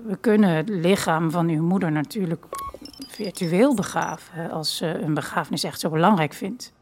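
An awkward, polite official voiced by a woman narrator, choosing words formally and haltingly in an audio drama. The voice is fairly low for a woman, with slight background noise. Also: darkish tone, lightly acted. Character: awkward, polite male bureaucratic consultant, hesitant.

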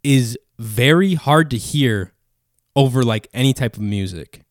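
A man's voice: medium pitch, animated, measured pace, no echo, clean studio sound. A young man chatting casually as a podcast co-host, laid-back, swearing freely, with a mid-register voice that blends into background noise. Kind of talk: casual chat.

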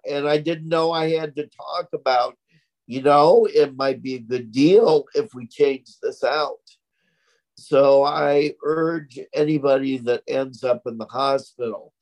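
Slow, deliberate man with projecting voice. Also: mid-range voice, poor audio. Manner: slow, deliberate, over-articulated speech; naturally loud, projecting voice. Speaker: interview guest, stroke survivor. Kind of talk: conversational interview.

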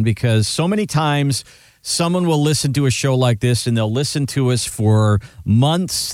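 A man's voice: mid-range voice, a little mellow, dry recording, studio quality. A man co-hosting a weekend radio show about retirement money, talking in an upbeat, chatty way and tossing leading questions to his co-host. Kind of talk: conversational radio finance talk.

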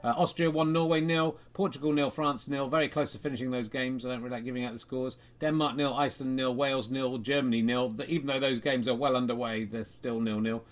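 A man hosting a comedy show, reading out made-up football scores in a dry, deadpan, rambling way. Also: medium pitch, fast talker, few pauses. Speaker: comedy podcast host. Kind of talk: mock football results reading and chat.

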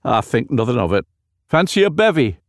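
A man's voice: medium pitch, big pitch swings, fairly quick, no echo, clean studio sound, pitched above the performer's own voice. A man voicing a friendly, tipsy young man from Newcastle with a Geordie accent, in a memoir reading. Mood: breezy, friendly. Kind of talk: acted dialogue in an audiobook memoir.

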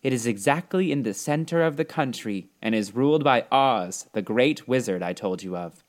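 A man's voice: medium pitch, animated, moderate pace, natural voice. A man voicing a kindly little old woman, a good witch in a storybook, with a solemn, reassuring manner. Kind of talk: storybook character reading.

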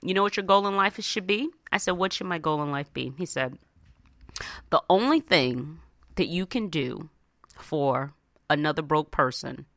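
A woman radio host with a bright, forceful voice and a dry, close sound. She coaches her listeners conversationally and with emphasis, slipping into little imagined dialogues and checking that they follow. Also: sits low, lively intonation, normal speed, dry recording. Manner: punctuates points with check-in questions. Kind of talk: motivational talk to listeners, conversational.

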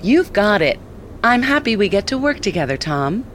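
An actress playing a polite, eager assistant app in an audio drama, with courteous, scripted customer-service delivery. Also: female voice, mid-range voice, big pitch swings, moderate pace, a bit bright, slight background noise. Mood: helpful, upbeat.

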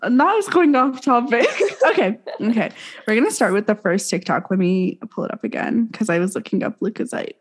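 A woman's voice: on the high side, animated, fairly quick. A young woman co-hosting a casual podcast, giggly and slangy, with a slightly sore throat. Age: young adult. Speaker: podcast co-host. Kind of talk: casual chat.

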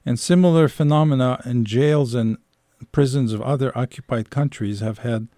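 A man from Algeria hosting an interview, putting long, articulate questions and commentary in a measured way. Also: mid-range voice, mellow, no echo, studio quality. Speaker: interviewer, radio host.

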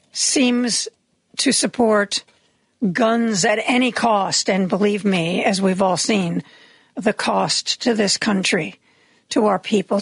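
Raspy-voiced woman radio host. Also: mid-range voice, no echo. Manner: chatty, wry, opinionated asides. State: raspy voice from medication. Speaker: radio talk show host. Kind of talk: casual news commentary. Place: radio studio.

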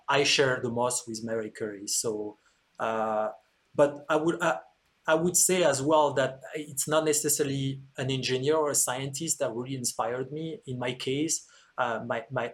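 French-accented man, earnest, hesitant fillers. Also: mid-range voice. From France. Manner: earnest, with frequent "uh" fillers and repeated words. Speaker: antenna engineer, podcast guest. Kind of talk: interview answers, explanatory.

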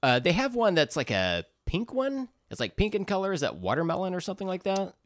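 Man, animated intonation, casual comedic banter, crude jokes, enthusiastic, podcast co-host, dry room sound. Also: sits high, fairly quick, dry recording. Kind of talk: casual comedic banter, taste-testing sodas.

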